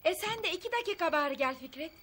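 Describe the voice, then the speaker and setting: An actress playing an exasperated, coaxing wife in a TV drama. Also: female voice, extremely high pitch, expressive, fast, a bit bright. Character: exasperated wife coaxing her husband indoors. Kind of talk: Turkish TV drama acting.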